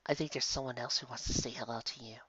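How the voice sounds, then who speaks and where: Soft breathy royal unicorn lady done by a narrator, slow n comforting, storybook voice, bit muffled. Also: medium pitch, steady tone. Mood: warm, reassuring. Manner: hushed, slow, tender. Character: gentle regal female winged unicorn angel, sorrowful and comforting. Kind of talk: acted storybook character voice.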